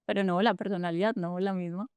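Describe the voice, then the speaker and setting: A woman in her thirties with a Colombian coffee-region accent, answering interview questions in an earnest, reflective way. Her voice is smooth and clear at a middle pitch. The sound is close and dry, with no room echo. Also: fairly even intonation, normal speed, a little mellow. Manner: earnest and reflective, frequent fillers and restarts. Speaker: guest, tech entrepreneur.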